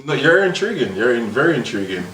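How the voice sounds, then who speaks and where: Talk show host, male, bright forceful tone, teasing, echoey room. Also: medium pitch, very animated, quick pace, distant mic. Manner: teasing, laughs often, stammers and restarts. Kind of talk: casual interview chat. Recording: echoey room, off-mic, faint noise.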